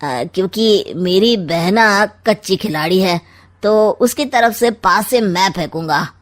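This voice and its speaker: A woman voicing a scheming, smug older uncle in a comic cartoon story, with a sardonic, drawn-out delivery. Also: medium pitch, lightly acted. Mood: sly, coaxing.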